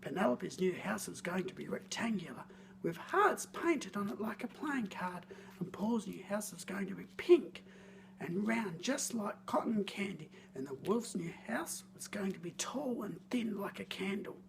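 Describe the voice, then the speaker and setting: A woman reading a scripted children's story in a theatrical, expressive way, lingering on words. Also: mid-range voice, a little slow, vocal fry, slight background noise.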